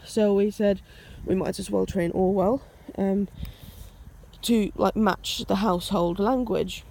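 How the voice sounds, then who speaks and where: Slow-paced woman. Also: medium pitch, steady tone, creaky, dark tone. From Finland. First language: Finnish. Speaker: dog owner and vlogger. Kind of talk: casual vlog talk. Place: outdoors on a walk.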